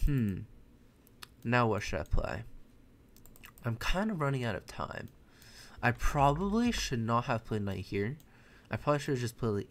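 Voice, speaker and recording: Young American chess streamer with Mandarin as his first language; relaxed live commentary, talks through moves and chats with viewers. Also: medium pitch, vocal fry. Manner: thinks aloud, chatty, self-correcting. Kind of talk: casual live commentary while playing. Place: bedroom at home, indoors.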